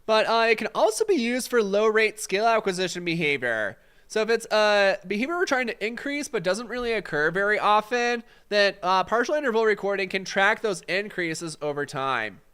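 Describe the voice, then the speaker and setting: A man's voice: high voice, lively intonation, normal speed, bright, forceful tone, smooth. A man with a congested, fading voice teaching a casual live-stream exam study session, answering chat questions with lots of fillers. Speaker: exam prep instructor.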